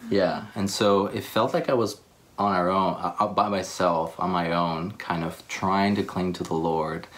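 A young man with a Ukrainian accent talking casually about faith on a living room couch, pausing and repeating words as he searches for them. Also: low-pitched, steady tone. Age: young adult. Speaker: church speaker.

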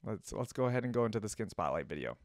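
A young American man hosting a reaction video in a casual, conversational style. His voice sits fairly low, and the sound is dry and close, as from a desk setup. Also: big pitch swings, racing, no echo.

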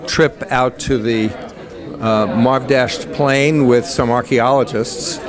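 An older man telling an anecdote in a halting way with chuckles. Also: mid-range voice, steady tone. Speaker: interviewee. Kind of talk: casual anecdote. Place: indoor conference venue. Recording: crowd noise behind. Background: crowd chatter.

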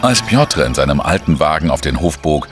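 An actor playing a weary, irritable investigator who narrates a German crime radio drama in a blunt, sardonic way. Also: male voice, rumbling low, very animated, fairly quick, music in the background. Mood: grim narrating.

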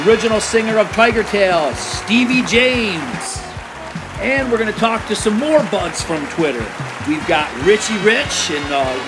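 A man hosting a rock podcast, upbeat and joking, often laughing as he talks, over canned crowd noise and music. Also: sits high. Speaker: podcast host. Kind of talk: casual interview with jokes.

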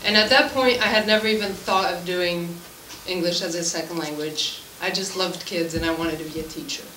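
A woman's voice: on the low side, fairly even intonation, fairly quick. Echoey, distant Swiss-born French-speaking woman teacher. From Switzerland. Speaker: English language teacher. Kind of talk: informal self-introduction at a public meeting. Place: school room at a committee meeting, indoors. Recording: echoey room, distant mic, background noise.

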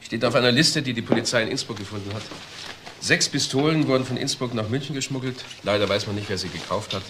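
An actor playing a brisk, matter-of-fact assistant detective reporting in a German crime radio drama. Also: male voice, medium pitch, background noise, natural voice. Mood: businesslike. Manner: businesslike.

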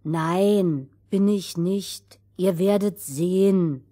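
A woman's voice: on the low side, very slow, mellow. A woman voicing a simple, good-natured youngest son in a fairy tale, earnest and plain.

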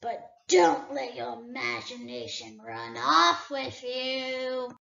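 A woman voicing a huge, cunning male dragon who drawls slowly and sneers. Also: sits high, big pitch swings, creaky, bright, forceful tone, reverberant, lo-fi sound, heavily acted. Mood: menacing. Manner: slow, drawn-out, sneering speech.

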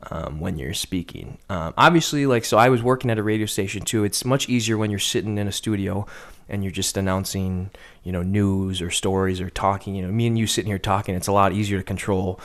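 A man's voice: deep voice, fairly quick. A young man and sports broadcaster, talking through a video call interview in a thoughtful way with many fillers. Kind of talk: casual interview chat. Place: home room. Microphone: studio condenser mic on video call.

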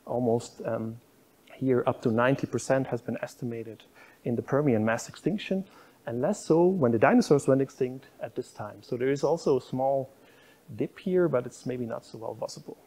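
Man lecturing, mellow husky voice. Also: mid-range voice, moderate pace. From Belgium. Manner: explanatory, pointing at slides, frequent fillers. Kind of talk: university public lecture. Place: lecture room.

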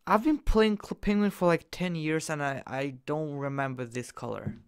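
A young man casually commenting while he plays a game on his computer, excitable and jokey, jumping from loud bursts to quiet mumbles. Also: a little high, normal speed, clear, resonant tone. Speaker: gaming YouTuber.

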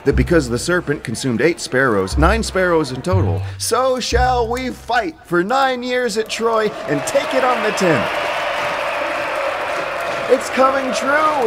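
A man's voice: extremely high pitch, heavy background noise. An actor playing an eloquent Greek king rallying soldiers with storytelling oratory in an audio drama. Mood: rousing, triumphant. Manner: storytelling, declamatory. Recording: music playing behind the voice.

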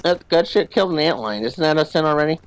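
A man's voice: on the high side, animated, quick pace, low-quality recording. A man chatting casually and jokingly with a friend while playing a co-op video game. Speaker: gamer playing co-op. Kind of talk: casual gaming chat. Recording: background game noise, ambience.

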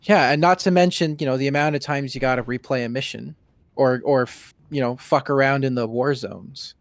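A man of average pitch gives casual gaming commentary in a very dry recording. He comes across as critical and bored as he chats with his co-op partner. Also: steady tone, fairly quick, no room sound. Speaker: game streamer's co-op partner.

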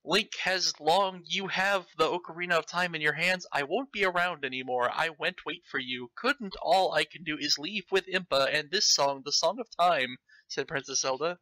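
A man's voice: on the high side, moderate pace, few pauses, pushed and bright, dry recording, poor audio. A man reading fan fiction aloud with theatrical, mocking delivery and breaking off to comment. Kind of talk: comedic dramatic read-aloud with commentary.

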